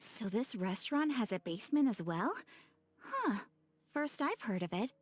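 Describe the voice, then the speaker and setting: A woman playing a polite, curious young adventurer in a video game, courteous and expressive. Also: fairly high pitch, normal speed. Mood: friendly, curious. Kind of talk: scripted game character dialogue. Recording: faint music behind.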